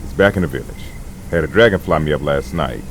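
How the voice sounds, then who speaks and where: A man's voice: very deep voice, fast talker, slight background noise. A man playing a practical, folksy medic in a fantasy audio drama, casual and matter-of-fact.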